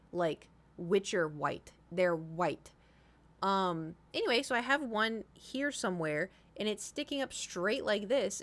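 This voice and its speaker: A woman in her thirties chatting casually to livestream viewers, rambling informally, swearing freely and repeating herself for emphasis. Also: medium pitch. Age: 30s. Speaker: livestream host.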